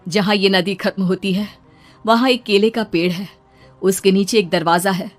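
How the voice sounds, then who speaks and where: A woman voicing the calm spirit of a dead village wife in a cartoon story, with soft music behind. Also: mid-range voice, moderate pace, lightly acted.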